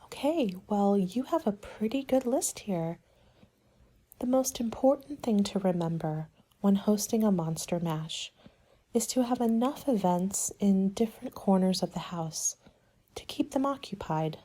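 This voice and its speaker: Soft, mellow woman in an ASMR roleplay. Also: medium pitch, expressive, a little slow. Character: helpful, attentive monster party planner. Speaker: roleplay performer as a party planning agent.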